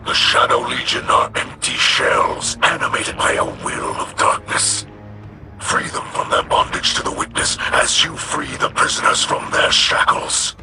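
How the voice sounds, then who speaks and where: An actor playing a grave, resolute alien warrior leader in a video game, with a breathy, rasping growl and formal, measured delivery. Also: male voice, close to an everyday voice. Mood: solemn, urging. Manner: formal, weighty, measured declarations. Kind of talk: video game mission dialogue. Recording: loud music over the voice.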